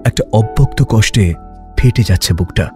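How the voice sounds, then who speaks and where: A man's voice: lowish voice, normal speed. A man narrating an audio love story in a dramatic, emotive, literary style. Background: background music.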